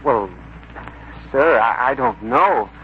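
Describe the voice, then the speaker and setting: An actor playing an earnest, deferential young employee, polite and hesitant, in 1940s radio drama style. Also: male voice, mid-range voice, lively intonation, slow pace. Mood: flustered. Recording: muffled, noisy 1940s radio recording.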